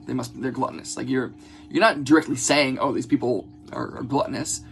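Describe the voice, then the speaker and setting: Gravelly-voiced man teaching a religious text. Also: medium pitch, faint music behind. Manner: halting, repeats words while explaining. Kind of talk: religious text lesson, reading Hebrew and explaining in English. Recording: clear background noise with a hum.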